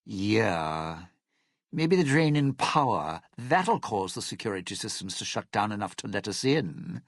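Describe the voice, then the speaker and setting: An actor playing a sly, bitter, scheming lounge singer in a video game, with a raspy, gravelly voice and a wry, smooth-talking, theatrical manner. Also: male voice, medium pitch, no room sound, studio quality, exaggerated voice. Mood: wry, musing. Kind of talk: video game character dialogue.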